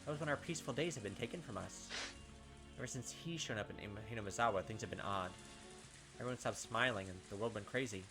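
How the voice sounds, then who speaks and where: A man reading a visual novel aloud as a gaming host, with dramatic, expressive storytelling and pauses. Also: on the low side, music in the background.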